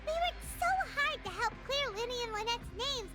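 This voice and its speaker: A woman voicing a tiny, excitable, childlike fairy companion in a video game, chatty and worrying, with a squeaky voice. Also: moderate pace. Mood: exasperated. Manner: speaks of herself in the third person, exclamatory. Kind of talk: animated video game voice acting. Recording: game music playing behind.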